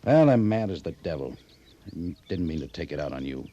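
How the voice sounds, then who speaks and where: An actor playing a gruff American army officer in an old-time radio Western, speaking in a halting, stiff way. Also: male voice, very deep voice, sing-song, warm tone, muffled recording, heavily acted. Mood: gruffly apologetic.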